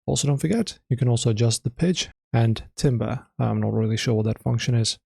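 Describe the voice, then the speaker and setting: A man narrating a casual software tutorial in an informal, slightly hesitant way, often correcting himself as he explains. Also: mid-range voice, a little fast, vocal fry, slightly rough, no echo. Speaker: tutorial host.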